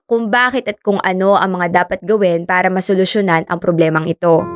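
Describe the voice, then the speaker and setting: An actress playing a bubbly, earnest young marine scientist in a radio drama. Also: female voice, fairly low pitch, fairly quick, loud music behind the voice, lightly acted. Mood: friendly earnest. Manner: expressive, friendly teasing, earnest when explaining. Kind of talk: conversational radio drama acting.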